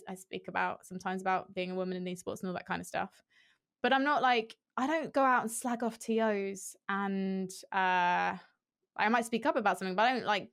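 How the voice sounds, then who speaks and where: Young woman, candid, animated, many restarts, headset video call. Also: medium pitch. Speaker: esports host, guest. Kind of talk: casual interview chat. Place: small room at home.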